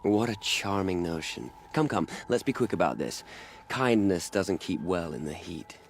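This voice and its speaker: An actor playing a jaded, sardonic healer in a video game, speaking with dry wit. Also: male voice, low voice, lively intonation, normal speed, creaky, slight background noise. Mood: wry. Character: jaded sardonic healer, man, reluctant to care. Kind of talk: cinematic video game acting.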